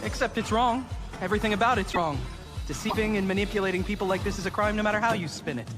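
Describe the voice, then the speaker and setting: Man playing a high-voiced earnest young assistant. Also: fast, music in the background, close to an everyday voice. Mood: indignant. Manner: pleading, reproachful. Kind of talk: animated comedy dub acting.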